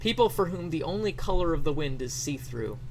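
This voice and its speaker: A man's voice: on the high side, lively intonation, fairly quick, gravelly, a little hiss or hum. A man doing a mock dramatic reading, theatrical in delivery, with sarcastic asides.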